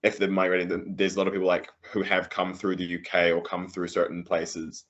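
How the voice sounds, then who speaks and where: A man's voice: low voice, monotone, brisk, a bit bright, highs cut off. A man with a Southland New Zealand accent asking a long, rambling interview question full of fillers. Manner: rambling, filler-heavy, many likes.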